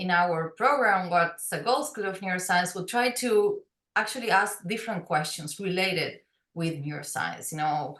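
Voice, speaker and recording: A woman's voice: fairly low pitch, pushed and bright, echoey room. A woman with a Uruguayan Spanish accent, co-head of an academic program, explaining it in an online presentation with frequent hesitations. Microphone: video call.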